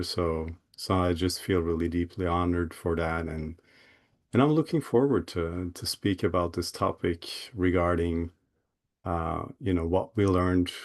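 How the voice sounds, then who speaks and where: A man from Norway speaking as a guest on a video call, eager and warm in his words, often restarting and repeating words. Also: deep voice. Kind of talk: casual talk on a video call. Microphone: computer mic on a video call.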